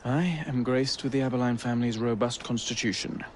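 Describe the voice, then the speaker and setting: An actor with an English accent playing a fussy, earnest Victorian police sergeant in a video game, speaking in formal, emphatic phrases. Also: male voice, mid-range voice, a little fast, a little hiss or hum, natural voice. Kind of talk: video game cutscene acting. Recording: loud music under the voice.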